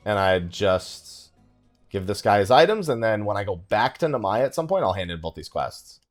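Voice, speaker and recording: Man doing lively, casual gaming commentary. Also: fairly low pitch, moderate pace. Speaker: gaming video host. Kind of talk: casual let's play commentary. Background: game music.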